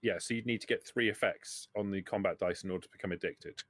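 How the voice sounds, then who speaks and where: A man's voice: sits low, quick pace, a bit bright, no echo. A man acting as game master, explaining rules and running a tabletop roleplaying game, often rephrasing as he goes.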